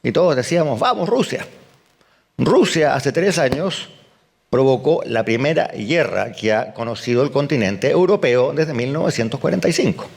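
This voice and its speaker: A man's voice: medium pitch, lively intonation, moderate pace, vocal fry. An older man giving a conference keynote as an economist, emphatic, firing rhetorical questions and answering them at once. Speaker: economist speaker. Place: event hall.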